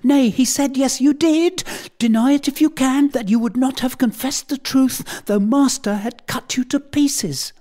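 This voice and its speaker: A man voicing a young boy who tells tales on another boy in a whiny, sobbing high voice. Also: big pitch swings, moderate pace, darkish tone, slightly rough, exaggerated voice. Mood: tearful. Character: tattling tearful young boy.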